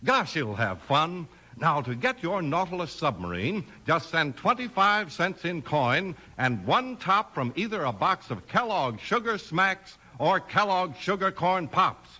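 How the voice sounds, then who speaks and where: Bright, lively American radio announcer man. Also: unhurried, hardly any pauses. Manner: enthusiastic, emphatic pitchman. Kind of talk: 1950s radio advertising and narration.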